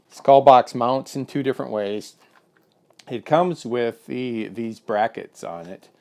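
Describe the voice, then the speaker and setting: A man's voice: low-pitched, darkish tone. A man giving a casual, plain-spoken product demonstration of an intercom, with lots of fillers and restarts.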